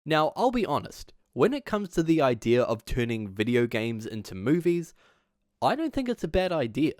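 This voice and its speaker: A man's voice: mid-range voice, sing-song, moderate pace, a little mellow, no room sound. A man hosting a video essay, reading a script with emphatic delivery and dropping in casual asides.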